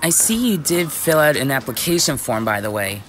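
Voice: medium pitch, big pitch swings, moderate pace, soft background music. A performer with a woman-like voice acting out an easygoing, dutiful werewolf police chief in scripted ASMR roleplay.

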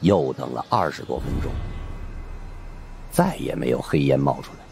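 A man's voice: a bass voice, big pitch swings, normal speed, vocal fry, darkish tone, slight background noise. A man narrating a horror story in a suspenseful, theatrical way. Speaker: audiobook narrator.

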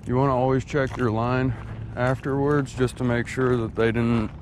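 A man's voice: medium pitch, little pitch movement, moderate pace. Laid-back man vlogging while fishing outdoors. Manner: laid-back, drawling, talks while casting. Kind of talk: casual outdoor narration. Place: outdoors by a quarry lake. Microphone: head-mounted action camera. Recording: faint outdoor noise.

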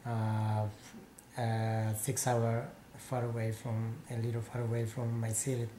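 Soft-toned Peruvian man in his forties. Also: mid-range voice, monotone, slight background noise. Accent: Peruvian Spanish. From Peru. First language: Spanish. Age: 40s. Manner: halting English with many pauses and restarts. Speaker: interviewee, painter. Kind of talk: casual interview. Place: small room with painted walls, indoors.

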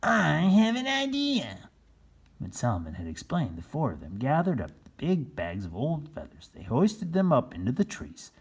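Male storybook reader: very lively pitch swings, husky tone, takes his time. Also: normal speed, few pauses. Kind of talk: expressive children's read-aloud narration.

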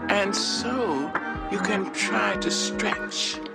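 A woman delivering inspirational spoken word in deliberate, weighty phrases with pauses. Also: mid-range voice, vocal fry, loud music behind the voice.

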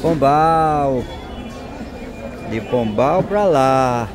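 A man with a Northeastern Brazilian accent walking through an open-air market, slowly pointing out and savoring the food on sale. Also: medium pitch, animated. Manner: slow, savoring, points out goods. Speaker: vlogger. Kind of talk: walking market tour commentary. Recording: loud crowd noise outdoors. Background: crowd chatter and vehicles.

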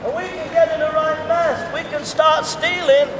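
A middle-aged man preaching to passers-by in a pedestrian street, repeating phrases in an emphatic, slow cadence, recorded from a distance. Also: extremely high pitch, fairly even intonation, a loud crowd. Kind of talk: open-air street sermon. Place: pedestrian shopping street outdoors. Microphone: far camera. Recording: roomy outdoor sound, distant reverb, clear street noise. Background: town street ambience and passing traffic.

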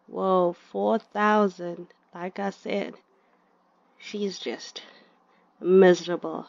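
A woman gives casual, chatty gameplay commentary and talks to her characters as she plays. She speaks slowly, and her voice has a gravelly edge. The sound is dry and slightly dull, like a lo-fi recording. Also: medium pitch, darkish tone, no echo. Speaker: gaming video creator.

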